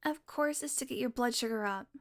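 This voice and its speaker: A woman's voice: fairly high pitch, fairly quick, natural voice. A woman playing a sardonic, flirtatious, possessive female vampire in an intimate audio roleplay, talking teasingly to the listener and sometimes dropping into a murmur. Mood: teasing, wry. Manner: sardonic, teasing, casually swearing, slipping into breathy murmurs.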